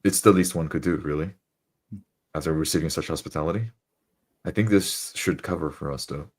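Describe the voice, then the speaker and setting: A man acts out a dwarf adventurer for a roleplay game. His voice is extremely deep and his phrasing is formal, courteous and devout. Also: fairly quick, darkish tone. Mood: calm, grateful.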